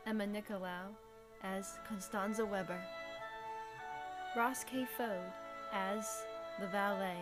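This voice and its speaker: A woman reading scripted audio drama credits as an announcer. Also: medium pitch. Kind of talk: scripted narration. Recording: loud music under the voice.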